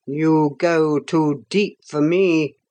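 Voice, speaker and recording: A woman voicing a grave, calm Roman emperor who passes judgment in a slow, measured way. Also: low voice, pitched below the performer's own voice. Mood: solemn.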